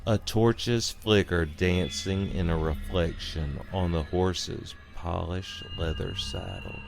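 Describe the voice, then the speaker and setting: A man's voice: deep voice, steady tone, takes it slowly, vocal fry. A man narrating a scripted horror story in an ominous, theatrical manner. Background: music.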